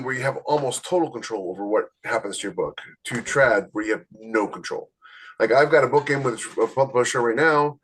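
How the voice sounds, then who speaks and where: A man's voice: a little high, fairly bright tone. A man co-hosting a podcast in casual conversation, talking emphatically and repeating points for stress. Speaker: podcast co-host, indie author. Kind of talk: casual chat about publishing.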